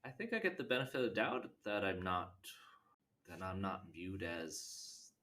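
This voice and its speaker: A man from Canada answering interview questions in a halting, thoughtful way. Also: deep voice, expressive, bright, forceful tone. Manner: halting, thoughtful, many restarts.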